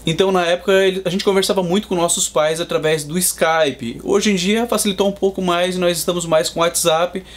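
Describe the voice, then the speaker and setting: Man from Brazil, thirties, fairly high voice, calm explaining, casual interview. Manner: measured, explanatory, with fillers. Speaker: guest, Brazilian father in Boston.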